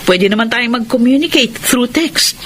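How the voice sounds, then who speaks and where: A middle-aged Filipino widowed mother played by a radio actress, firm and guarded. Her emotive voice swings in pitch with feeling. The radio drama broadcast has clear noise behind it. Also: lively intonation, moderate pace, lightly acted.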